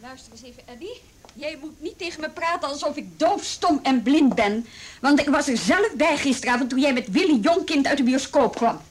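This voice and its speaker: A woman's voice: high-pitched, expressive, a little fast. An actress in a radio drama playing a stern, sarcastic policewoman, brusque and commanding as she questions a suspect. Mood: scolding. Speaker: police interrogator. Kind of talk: Dutch radio drama acting.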